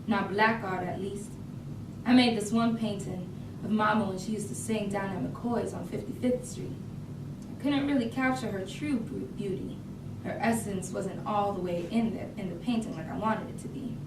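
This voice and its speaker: A woman's voice: medium pitch, moderate pace, far from the mic, close to an everyday voice. Woman acting proud young art student, echoey room. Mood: hurt, wistful. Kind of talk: staged reading of dramatic monologue. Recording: echoey room from afar, noisy.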